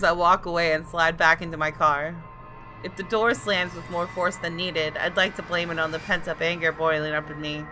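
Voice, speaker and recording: A woman with a bright, forceful voice pitched a little low hosts a game playthrough. She reads and comments expressively and dramatically, with soft game music in the background. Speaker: gaming video host. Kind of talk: playthrough commentary and reading game narration aloud.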